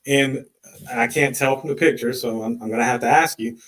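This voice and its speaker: A man working as an attorney, questioning a witness deliberately with leading questions in a courtroom. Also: mid-range voice, a bit bright, rough recording. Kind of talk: courtroom cross-examination.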